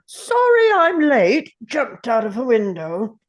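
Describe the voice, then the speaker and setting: Woman acting as a theatrical, slow, swooping time traveller. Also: fairly high pitch, wildly swooping pitch, full character voice. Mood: breezy, boastful. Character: ancient male time traveller, brooding, defiant, sardonic showman. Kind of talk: dramatic script table read.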